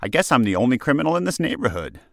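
A man plays a smug philandering neighbour in an audio drama. His creaky voice swings widely up and down, recorded dry. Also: medium pitch, big pitch swings, very fast, no room sound. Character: smug, cheating male neighbour.

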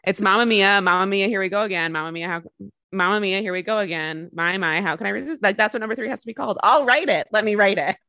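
A woman's voice: mid-range voice, fast, pushed and bright, dry recording. A woman co-hosting a podcast, chatting casually and jokingly about a film, with lots of fillers. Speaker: podcast co-host.

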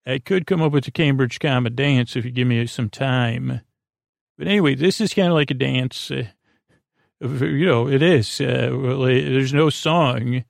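Man, creaky gravelly voice, podcast host, meandering bedtime monologue, halting delivery, unfinished thoughts, dry sound. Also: medium pitch, no room sound.

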